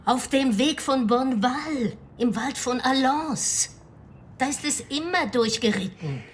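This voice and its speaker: An actress playing a grave, anxious middle-aged widow from the provinces in a radio crime drama, speaking hesitantly and with foreboding. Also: female voice, high voice, animated, unhurried, a little hiss or hum. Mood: ominous, hesitant.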